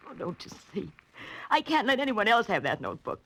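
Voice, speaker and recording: A woman's voice: a little high, sing-song, brisk, fairly bright tone. A woman playing a guarded, desperate woman in her thirties in a 1940s radio melodrama, with a dramatic delivery. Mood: anguished pleading. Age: 30s. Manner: dramatic, haughty turning to pleading. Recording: faintly noisy vintage 1940s radio recording.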